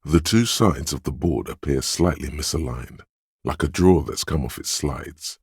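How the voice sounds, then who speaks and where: Casting: a detective's sardonic inner voice for a role-playing game. Rock-bottom register, close dry sound, erudite, gravelly delivery. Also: male voice, rumbling low, moderate pace, darkish tone, no room sound, exaggerated voice. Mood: measured, wry. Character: wry, erudite inner voice narrating a detective's thoughts. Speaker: game narrator and inner-voice actor. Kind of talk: scripted second-person narration for a role-playing video game.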